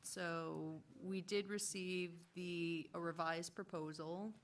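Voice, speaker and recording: A woman's voice: fairly low pitch, steady tone, takes it slowly. A woman giving a procedural summary as a commission official at a public meeting. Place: indoor municipal meeting room. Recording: noisy town meeting room.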